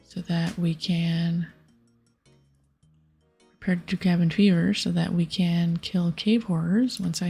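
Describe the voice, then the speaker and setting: A woman speaks in a very breathy half-whisper with some creak, hosting a gaming ASMR video. Her voice sits a little low and she is soothing and unhurried, with faint music behind her. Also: dark tone. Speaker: host of a gaming video series. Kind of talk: ASMR game playthrough, softly spoken and whispered.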